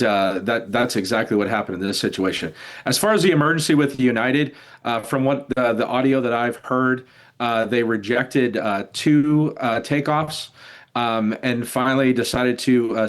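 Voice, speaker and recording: An older man, an expert guest in a news interview, explaining in a measured, halting way. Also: medium pitch. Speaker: retired air traffic controller, expert guest.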